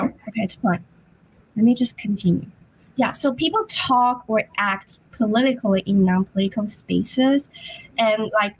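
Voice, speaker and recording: A woman presenting her research remotely at a conference, speaking slowly and haltingly with a hoarse voice. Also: medium pitch, rough recording. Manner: slow, halting, apologetic. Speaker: academic presenter.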